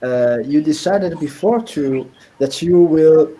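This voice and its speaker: A man hosting a live stream interview, speaking in a hesitant, halting way. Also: a little high, a loud crowd.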